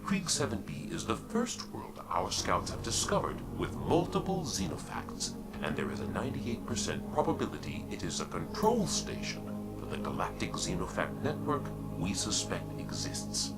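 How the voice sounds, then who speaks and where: An actor playing a solemn, zealous officer of a futuristic religious order, delivering a formal, measured briefing. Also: male voice, mid-range voice, huge pitch swings. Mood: solemn, grave. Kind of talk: scripted sci-fi briefing narration. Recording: loud music under the voice. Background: loud game music.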